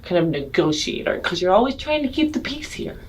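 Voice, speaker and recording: A woman's voice: on the low side, sing-song, brisk, noisy background. A woman playing a tabletop role-playing game, improvising casually with blunt, bossy banter.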